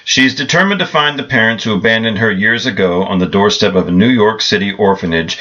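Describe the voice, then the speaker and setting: A man's voice: lowish voice, quick pace, bright, forceful tone. A man reading a scripted promotional announcement as a radio announcer, in a dull recording with music behind.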